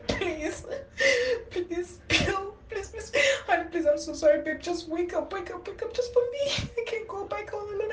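A grieving wife, played by a woman, pleads tearfully in an extremely high voice. She repeats herself frantically through her crying, in a roomy, echoing audio drama recording with noise behind her. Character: distraught grieving wife pleading with dead husband. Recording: echoey, distant, clearly noisy recording.

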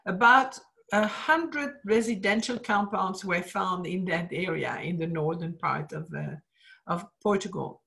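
Woman giving a relaxed slide lecture. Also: fairly low pitch, creaky. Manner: explanatory, frequent "uh" pauses, trailing off at phrase ends. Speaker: art history lecturer. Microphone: screen-share talk, webcam in corner.

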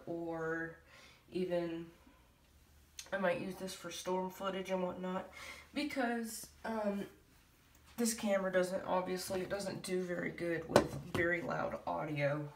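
Woman doing a casual home vlog, kinda hesitant, echoey room w faint noise. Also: lowish voice. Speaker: hobby video creator. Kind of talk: casual vlog update to viewers. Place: small room at home, indoors. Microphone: handheld camera or phone mic. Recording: roomy, distant mic, light noise.

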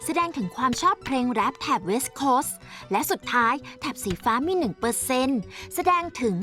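A woman's voice: on the high side, lively intonation, moderate pace, bright, forceful tone, faint music behind. A woman voicing a precocious four-year-old girl scientist in a cartoon dub, speaking in a formal, lecturing, matter-of-fact way.

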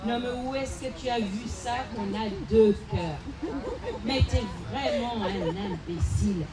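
A woman's voice: on the high side, measured pace, reverberant, close to an everyday voice. A woman voicing a sly, scornful male monkey in a folk tale told outdoors with a handheld mic. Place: outdoor festival park. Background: crowd.

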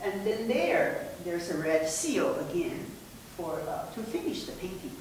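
A woman's voice: medium pitch, sing-song, unhurried, a little mellow, slight background noise. Woman, 60s, friendly presenter, filler-heavy, far mic, very echoey room. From Taiwan. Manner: friendly, explains with many fillers and gestures. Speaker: museum director presenting. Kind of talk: casual gallery presentation. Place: indoor museum room. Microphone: far camera mic.

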